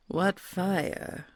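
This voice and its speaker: A woman voicing a kind, gentle female red dragon queen in an ASMR audio roleplay, talking in a soft, soothing, mostly whispered way. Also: lowish voice, no room sound. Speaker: voice actor.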